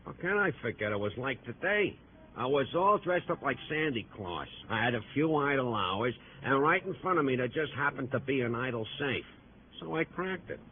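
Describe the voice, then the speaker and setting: An actor playing a weary, wry ex-convict dressed as Santa in an old radio drama, gruff and wisecracking. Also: male voice, mid-range voice, expressive, moderate pace, slight background noise, natural voice. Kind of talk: 1940s radio acting. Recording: tinny old radio broadcast.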